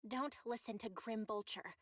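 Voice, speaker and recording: An actress playing a caring, reassuring confidante in a video game, speaking gently and encouragingly. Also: female voice, a little high, moderate pace, no room sound, close to an everyday voice.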